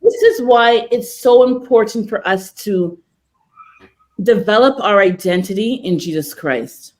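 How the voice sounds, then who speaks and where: A woman's voice: medium pitch, expressive, measured pace, a little mellow. Earnest, encouraging woman podcast host. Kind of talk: casual faith podcast chat.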